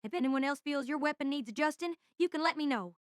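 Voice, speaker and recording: Boyish young mechanic, extremely high voice, easygoing and helpful, dry audio drama sound; played by a woman. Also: fast, dry recording, close to an everyday voice. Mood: sheepish, helpful. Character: friendly, easygoing young male weapons technician.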